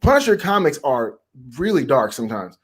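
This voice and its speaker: Animated man with a lively, swooping delivery. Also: a little high, fairly quick, crowd noise. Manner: animated, emphatic, slangy. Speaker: co-host. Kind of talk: casual chat.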